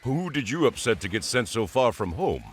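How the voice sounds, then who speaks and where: A man's voice: mid-range voice, very animated, moderate pace, husky. An actor playing a hearty, friendly male warrior, in a video game cutscene.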